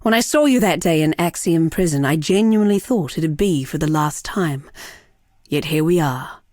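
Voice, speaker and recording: An actress playing a proud, seductive, unrepentant villainess sorceress in a video game, with a measured, theatrical, sardonic delivery. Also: female voice, sits low, lively intonation, a little mellow, no echo, clean studio sound. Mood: wistful, sardonic. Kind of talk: dramatic video game character acting.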